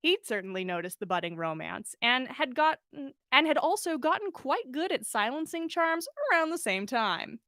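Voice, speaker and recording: Woman, high-pitched voice, expressive read-aloud narration, animated delivery, very dry recording. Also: moderate pace, no echo. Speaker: podcast host reading listener fan fiction.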